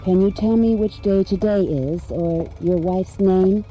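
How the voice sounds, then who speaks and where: An actress playing a calm female hypnotist in a dramatic video game, giving measured, clinical commands to a patient. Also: medium pitch, lo-fi sound, slight background noise, lightly acted. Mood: soothing, commanding.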